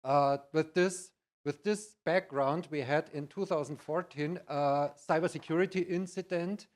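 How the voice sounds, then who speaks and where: A middle-aged or older man with a Bavarian German accent, giving a conference presentation in halting, non-native English. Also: a little high. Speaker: conference speaker, IT practitioner.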